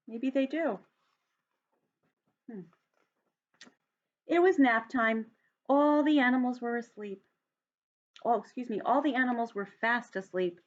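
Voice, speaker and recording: A woman reading a children's storybook aloud in an expressive, deliberate way, like a teacher addressing young students. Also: a little high, lo-fi sound.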